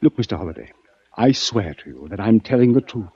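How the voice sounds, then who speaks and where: An earnest, desperate male doctor from a vintage radio play. His speech is courteous and halting, with pleading swoops up and down. The recording is dry and dull. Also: fairly low pitch, big pitch swings, dark tone, slightly rough, no room sound, full character voice. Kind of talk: old-time radio drama acting.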